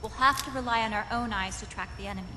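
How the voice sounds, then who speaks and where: A woman's voice: on the high side, fast, pushed and bright, slight background noise. A woman playing an authoritative military commander in a video game, giving a firm, resolute briefing. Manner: firm, clipped briefing delivery. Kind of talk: dramatic video game acting. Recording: music under the voice.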